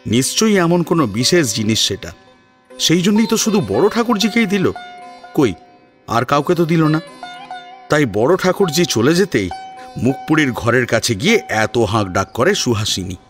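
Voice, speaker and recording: A man reading scripted story narration in an expressive, dramatic storytelling style. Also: on the high side, normal speed. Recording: soft music under narration. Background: sitar music.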